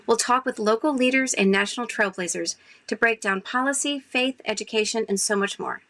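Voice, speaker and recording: A woman's voice: on the high side, brisk, a bit bright. Upbeat woman podcast host. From Arkansas. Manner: upbeat, enthusiastic. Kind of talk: scripted intro and friendly interviewing.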